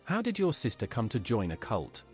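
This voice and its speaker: A man's voice: mid-range voice, huge pitch swings, moderate pace, soft background music. A man's synthetic-style voice playing a cool, inquisitive fantasy game adventurer who preaches heresy. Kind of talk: AI-voiced video game player dialogue.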